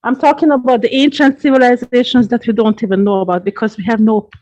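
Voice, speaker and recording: Guest read: woman, earnest and measured, slightly high voice, dull lo-fi sound. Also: steady tone. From Hungary. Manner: earnest, measured, gently repeats words. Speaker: astrologer guest. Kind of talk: interview answers, explaining at length. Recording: dull lo-fi podcast audio.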